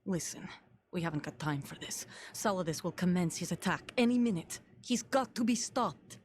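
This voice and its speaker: A woman's voice: lowish voice, expressive, moderate pace, natural voice. An actress playing a guarded female soldier and grieving mother in a video game, grave, terse and commanding. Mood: urgent, commanding. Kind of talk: dramatic video game voice acting.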